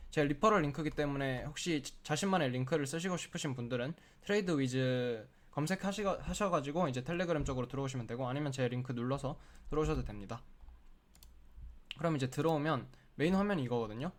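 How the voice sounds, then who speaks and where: A man in the middle of a cold, his throat sore and often needing a clear, walks viewers through a screen-share tutorial. He talks in a chatty, explaining way, filling his sentences with little filler words, at an ordinary middle pitch for a man. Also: fast talker. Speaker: crypto trading tutorial host.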